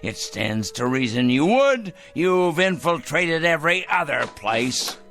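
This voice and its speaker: High-voiced, wildly animated paranoid crank. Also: male voice, unhurried, creaky, faint music behind, full character voice. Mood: furious, accusing. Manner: ranting, excitable, muttering and crooning to a pet. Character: fanatical, paranoid, self-righteous male crank obsessed with punishing evildoers. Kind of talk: theatrical radio drama acting.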